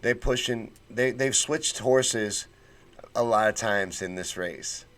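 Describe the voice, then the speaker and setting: A man's voice: fairly low pitch, takes it slowly, a bit bright. A man hosting a casual political livestream, reading chat comments and talking bluntly with fired-up conviction and folksy slang.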